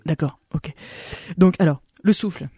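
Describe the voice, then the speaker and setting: A woman's voice: low voice, normal speed, warm tone, slightly rough, dry recording, exaggerated voice. A woman playing a chatty miming coach and interpreter in an amateur radio play, giving lofty advice with lots of fillers. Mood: eager.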